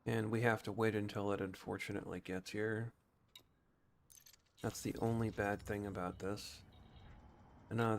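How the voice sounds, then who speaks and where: Guy streaming a game, deepish voice, chatty explaining as he goes, bit of noise behind him. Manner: thinks aloud, explaining game mechanics informally. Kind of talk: casual gameplay commentary.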